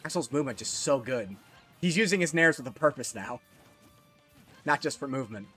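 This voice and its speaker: Excitable male esports commentator. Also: brisk, breathy edge, faint music behind. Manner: excitable, voice jumps high at big moments. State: losing his voice. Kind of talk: solo live tournament commentary. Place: small closet.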